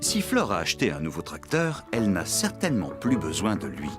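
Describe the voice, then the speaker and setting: A man's voice: deep voice, wildly swooping pitch, a little fast. A man narrating a children's farm cartoon in scripted storytelling style. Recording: loud music behind the voice.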